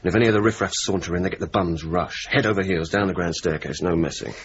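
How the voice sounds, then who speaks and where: An actor playing a witty young RAF officer in a radio drama, speaking with mock-pompous turns of phrase and service slang. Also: male voice, low voice, fast. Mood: dry banter. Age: young adult. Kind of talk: 1980s radio drama, narration and banter. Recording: dull, hissy old radio recording.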